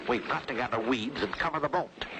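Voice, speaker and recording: A man's voice: mid-range voice, expressive, a little fast, heavy background noise, natural voice. An actor playing a scheming male thief who talks slyly and eagerly in an old cartoon. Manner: sly, eager. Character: scheming male cattle thief, gleeful crook.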